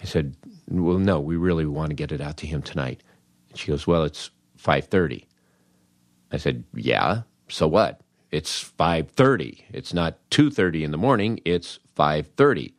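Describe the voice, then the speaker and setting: An older American man hosting a humorous storytelling monologue, wry and animated, acting out dialogue with dramatic pauses. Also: very deep voice, dry recording, studio quality. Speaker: podcast host, comedy writer.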